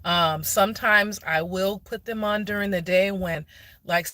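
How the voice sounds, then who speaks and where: Bright-voiced woman chatting casually on livestream. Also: medium pitch, fairly even intonation, unhurried, no echo. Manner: informal, explanatory, addresses viewers directly. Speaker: vlogger answering questions on a livestream. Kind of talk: casual impromptu livestream chat. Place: inside a parked car. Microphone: phone, handheld or propped nearby.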